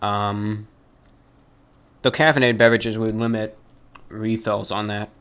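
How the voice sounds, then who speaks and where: A man with a fairly low voice and very little rise or fall in pitch. He hosts a listener mail show, speaking unscripted and reading aloud. His delivery is deliberate and measured, with slightly formal wording. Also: flat delivery, a bit bright, clean tone. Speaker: host of a fan mail radio show.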